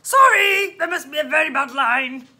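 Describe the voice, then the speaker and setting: A woman plays a gruff storybook policeman with a growl. He is loud and forceful, swooping through drawn-out, incredulous questions as if he doubts every word he hears. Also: high-pitched, animated, pitched above the performer's own voice, exaggerated voice. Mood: loud, disbelieving. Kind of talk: children's storybook read-aloud.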